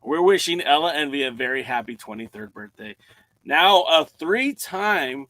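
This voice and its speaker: A man's voice: a little high, lively intonation, moderate pace, pushed and bright, low-quality recording. A man hosting a casual podcast, steering the conversation and moving it on to the next topic. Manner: steers the talk, moves topics along. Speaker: podcast host.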